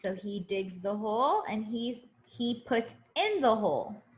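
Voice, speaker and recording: A woman's voice: on the high side, sing-song, measured pace, reverberant, rough recording. A woman teacher reading a picture book aloud to young children, expressively, with simple asides to her listeners.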